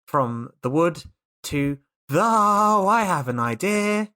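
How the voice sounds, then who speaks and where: A player talks through a tabletop role-playing game in casual chat. The voice sits at a middle pitch, and the delivery is emphatic, with words often repeated. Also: animated, takes it slowly, bright, forceful tone, clear, resonant tone.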